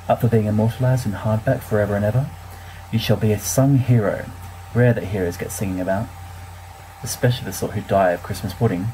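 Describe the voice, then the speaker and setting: Mellow, low-voiced wry bookish schoolboy played by a man. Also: slight background noise, close to an everyday voice, low-quality recording. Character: bookish, dryly witty teenage schoolboy letter writer. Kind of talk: reading letters aloud in character, audiobook-like fan recording.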